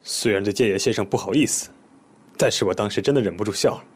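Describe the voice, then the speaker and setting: An actor playing a composed male police detective in an audio drama, explaining things in a measured, methodical, polite way. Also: on the low side, breathy edge. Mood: explanatory. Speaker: police investigator questioning a witness.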